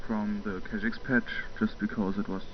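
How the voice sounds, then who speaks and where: A man's voice: lowish voice, little pitch movement, moderate pace, a little hiss or hum. German man rambling over game footage. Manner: rambling, many fillers, chuckles to himself. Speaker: gameplay commentator. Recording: muffled home mic with game sounds.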